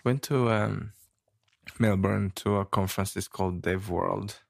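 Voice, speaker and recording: Guy from romania cohosting, rough gravelly low voice, hesitant with lots of ums, dry sound. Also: steady tone, dry recording. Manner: halting, many fillers and restarts, laughs often. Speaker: podcast co-host. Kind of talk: casual chat.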